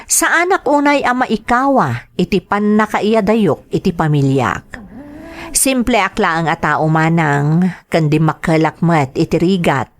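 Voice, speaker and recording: A woman's voice: on the low side, expressive, a little slow, dry recording. A woman with a Filipino accent reading the letter of a humble, hardworking man as scripted drama narration. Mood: earnest, reflective.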